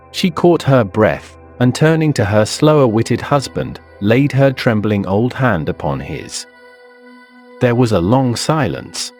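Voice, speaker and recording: A man's voice: medium pitch, a little mellow. A man narrating a scripted suspense story in a literary style.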